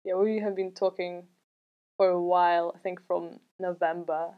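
A woman hosting a podcast, speaking in a slow, deliberate and measured way. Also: lowish voice, little pitch movement, no echo, narrow, tinny audio. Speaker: podcast host. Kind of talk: podcast intro and interview.

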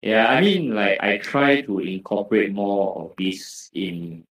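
A young Malaysian man with a deep-leaning voice chats easily as a podcast guest. He speaks with a Malaysian English accent and a loose, relaxed manner. His voice rings out in a noticeably echoey room. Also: measured pace. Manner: relaxed, laughs often, ends phrases with "lah". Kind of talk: casual chat.

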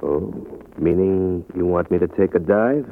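An actor playing an arrogant young boxer in a 1950s radio drama, swaggering and sneering. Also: male voice, low-pitched, measured pace. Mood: cocky. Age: young adult. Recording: tinny old radio broadcast with faint hiss.

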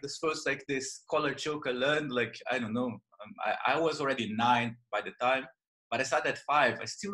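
A man from northern Brazil with a Brazilian accent, telling his story as an interview guest, halting with many fillers and restarts. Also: on the high side, pushed and bright. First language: Portuguese. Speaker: guest, jiu-jitsu and yoga teacher. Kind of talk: casual interview.

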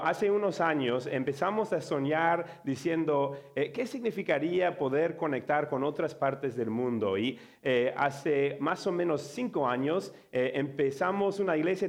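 A middle-aged man speaks with a Mexican accent, his voice a little higher than most men's and audibly strained. He opens a sermon as a guest pastor, joking and filling pauses with "eh". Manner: joking, many filler "eh" pauses. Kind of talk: sermon introduction.

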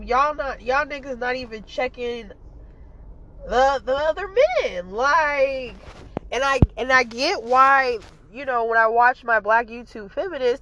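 A woman's voice: a little high, sharp tone, poor audio. A woman with a stuffy nose giving a casual, blunt commentary monologue as a podcast host, rambling and full of fillers and swearing.

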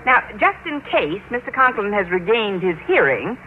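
Through a phone-thin vintage radio sound comes an actress as a sardonic schoolteacher. She delivers wisecracks dryly, with lively swings in pitch, over faint hiss. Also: normal speed, lightly acted. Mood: wry, plotting. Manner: dry, sardonic. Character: wry, wisecracking adult schoolteacher heroine. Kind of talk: old-time radio sitcom acting. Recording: tinny old radio recording with noise.

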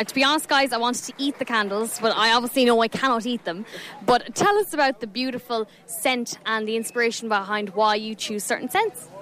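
A young Irish woman presenting radio on location at an outdoor show, bubbly and effusive with everyone she meets. Her voice sits a little high, and the talk is loose and unscripted. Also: fairly bright tone.

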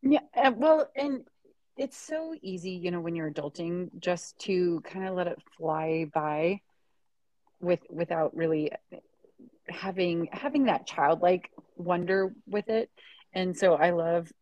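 A woman's voice: lowish voice, measured pace, a little mellow, no echo, highs cut off. A woman in her thirties co-hosting a podcast, chatting casually in an enthusiastic, rambling way. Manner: rambling, enthusiastic, many restarts. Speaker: podcast co-host. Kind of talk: casual chat.

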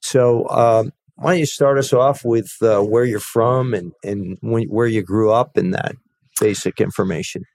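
A man's voice: fairly low pitch, steady tone, unhurried, darkish tone, no room sound. A man hosting a podcast interview, asking questions in an informal, slightly hesitant way. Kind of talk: casual interview.

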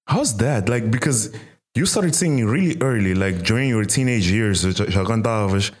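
Young dude hosting, low voice, flips between english and portuguese. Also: male voice, expressive, moderate pace, breathy edge. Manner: conversational, switches between English and Portuguese mid-sentence. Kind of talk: casual chat.

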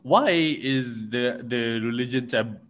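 Male podcast host, mid-range voice, relaxed conversational musing with easy swearing. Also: mid-range voice, slow. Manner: swears casually, muses aloud. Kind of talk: casual chat.

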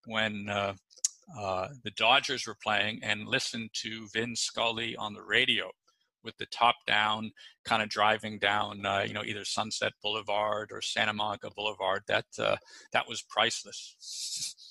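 A man's voice: lowish voice, little pitch movement, unhurried, gravelly, pushed and bright, no echo, rough recording. A middle-aged man answering interview questions casually, with many fillers and restarts. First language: English. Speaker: podcast guest.

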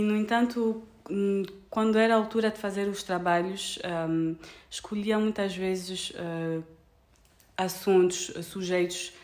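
A woman's voice: lowish voice, a little mellow. A young woman from France and Angola speaking Portuguese, hesitant and full of fillers as a podcast guest. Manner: hesitant, many fillers and restarts, laughs easily. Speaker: guest pastry chef. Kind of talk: casual podcast interview.

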